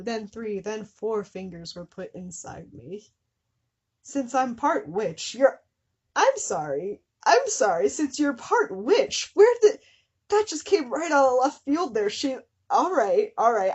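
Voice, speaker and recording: A woman's voice: mid-range voice, a little mellow, husky. A woman hosting a comedy show, reading fan fiction dramatically with incredulous, giggly asides. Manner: breaks into giggles and incredulous commentary.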